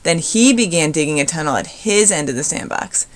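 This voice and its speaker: A woman with a slightly low voice reads a picture book for children. Her delivery is expressive storybook narration. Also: brisk. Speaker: storybook reader.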